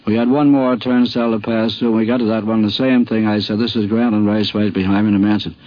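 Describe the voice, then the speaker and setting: A man's voice: on the low side, flat delivery, quick pace. A man with an American accent, a sports columnist telling an anecdote from memory. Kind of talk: anecdotal reminiscence. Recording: muffled vintage radio recording.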